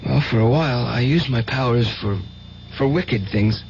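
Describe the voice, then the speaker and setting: A man's voice: medium pitch, normal speed, vocal fry, natural voice. An actor playing a fervent, tormented young man with psychic powers in an old-time radio drama, earnest and intense. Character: fervent, tormented young male psychic nephew, devoutly religious. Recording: dull, hissy old radio recording.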